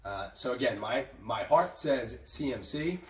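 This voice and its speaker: A man hosting a casual sports chat, raspy and hoarse from a cold, chatty and prone to restarts. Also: mid-range voice, animated, unhurried, reverberant, far from the mic. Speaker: host.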